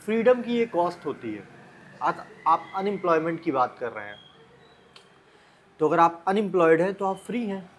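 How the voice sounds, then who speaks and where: A man's voice: sits high, big pitch swings, normal speed, long pauses. An Indian man giving informal motivational advice straight to the listener, bluntly, with rhetorical questions and repeated phrases. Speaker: advice vlogger.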